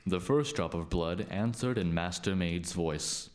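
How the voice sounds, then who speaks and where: A man's voice: low voice, a little slow, slight background noise. A man narrating a scripted folk tale as a storyteller.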